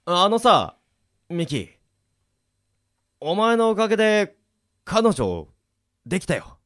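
A man's voice: high voice, huge pitch swings, slow pace, fairly bright tone, exaggerated voice. A man playing a hapless, dim but good-natured teenage boy in a radio drama, with music behind. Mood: happy, grateful. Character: teenage boy, cheerful hapless dimwit unlucky in love. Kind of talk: amateur radio drama acting.

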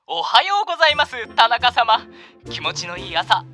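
A man's voice: very high-pitched, big pitch swings, moderate pace. Unctuous, swooping, uncanny salesman of favors. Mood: gleefully polite. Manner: exaggeratedly polite, theatrical honorific speech. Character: unctuous, uncanny male life contractor offering sinister favors. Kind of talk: Japanese radio drama acting. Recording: music behind the voice.

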